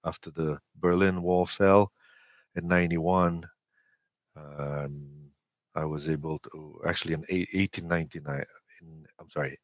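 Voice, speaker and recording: Deep-voiced Romanian man, halting delivery. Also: steady tone, no echo. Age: 40s. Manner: halting, hesitant delivery with many pauses. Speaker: interview guest, amateur radio operator. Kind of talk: personal storytelling in an interview.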